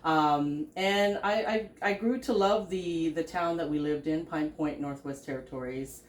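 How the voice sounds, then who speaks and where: A middle-aged woman with a notably low voice, seated on a sofa and talking into a stand mic. She tells her life story haltingly, with plenty of ums and restarts. The living room gives her voice a roomy echo. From Philippines, raised in Canada. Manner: halting, many fillers and restarts, laughs often. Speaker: podcast host and business founder. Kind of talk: casual interview, telling her life story. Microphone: stand mic between speakers.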